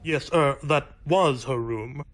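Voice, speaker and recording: A man playing a flattering, self-deprecating society playboy in a radio mystery play, with a florid, theatrical manner. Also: medium pitch, slow, natural voice. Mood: flustered, wistful. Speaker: actor in an amateur radio play. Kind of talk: radio mystery drama acting.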